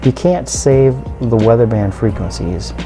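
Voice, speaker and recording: A man gives a casual hands-on demonstration in a creaky, medium-pitched voice. He explains things step by step, informally and haltingly, with music clearly audible behind him. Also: animated. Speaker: radio reviewer and video host.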